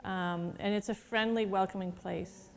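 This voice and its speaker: A woman's voice: medium pitch, fairly even intonation, measured pace. A woman speaks for a museum in an interview, in a mid-range voice. Her delivery is upbeat and welcoming, a little scripted. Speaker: museum representative. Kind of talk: interview, promotional.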